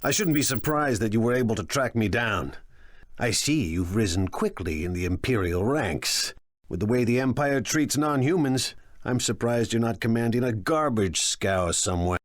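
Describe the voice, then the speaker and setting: An actor playing a confident, sly crime lord in a video game, sardonic and self-assured. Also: male voice, medium pitch, animated, moderate pace, no echo, studio quality, natural voice. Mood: defiant. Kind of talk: scripted video game acting.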